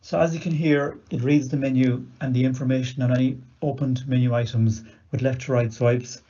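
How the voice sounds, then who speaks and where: A man's voice: medium pitch, fairly even intonation, fairly quick, dark tone. A man giving scripted instructional narration in a methodical, matter-of-fact way. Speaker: video demonstrator. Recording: dull lo-fi recorded video audio.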